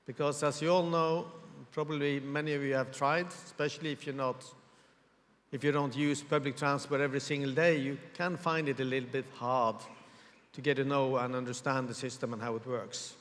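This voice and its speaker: A man from Norway gives a formal conference presentation in English, measured and pausing often to find his words. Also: medium pitch, fairly even intonation, a bit bright. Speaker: conference speaker. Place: large conference hall. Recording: reverberant hall with long echo.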